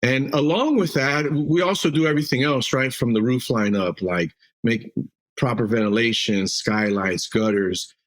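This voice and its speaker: Brief: Mexico-born company boss on a podcast, mid-pitched, rambling and friendly with many "um"s. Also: male voice, moderate pace. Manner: loose and talkative, many fillers and restarts. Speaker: roofing company CEO, podcast guest. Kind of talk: casual interview answers.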